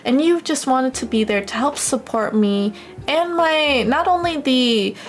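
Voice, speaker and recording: High-voiced young woman streamer, affectionate. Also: expressive, measured pace. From United States. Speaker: live streamer. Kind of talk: casual livestream chat.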